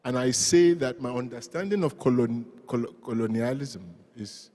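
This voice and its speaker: A man speaking as a panelist at a public discussion, in a measured, emphatic way. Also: medium pitch, dark tone. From Nigeria. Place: large museum event hall. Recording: reverberant public hall.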